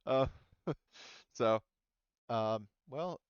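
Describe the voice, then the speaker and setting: A man's voice: medium pitch, drawn-out words, clear, resonant tone, no echo, muffled recording. A man refereeing a casual tabletop game as game master, hesitant and thinking aloud as he talks.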